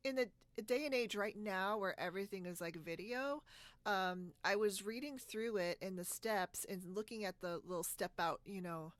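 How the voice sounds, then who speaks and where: A woman podcast host chats casually in a medium-pitched voice. Her manner is talkative and informal, and she laughs often. The sound is close and dry, with very little room in it. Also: normal speed, no echo. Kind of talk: casual video podcast chat. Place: split-screen video call, recorded dry.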